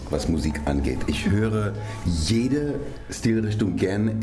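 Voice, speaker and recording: A man's voice: low-pitched, darkish tone. A man, a conductor and composer, speaking enthusiastically but with slight hesitations in an interview. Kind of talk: interview answer.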